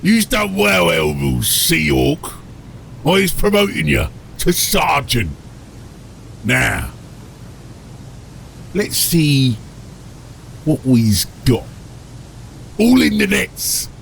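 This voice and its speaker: Gravelly, bossy ork captain. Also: male voice, on the high side, expressive, drawn-out words, pauses often, fairly bright tone, slight background noise, voice pushed up, exaggerated voice. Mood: commanding, pleased. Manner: coarse ungrammatical speech, barking orders. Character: gruff, bossy male ork submarine captain, short-tempered. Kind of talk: fantasy audiobook character acting.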